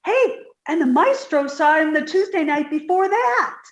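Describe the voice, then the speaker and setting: Woman acting naive young secretary, high swooping voice, radio comedy, earnest, dim, roomy echo. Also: animated, moderate pace. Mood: sleepy, earnest. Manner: dim-witted, earnest, easily distracted. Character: sweet, naive, scatterbrained young female secretary.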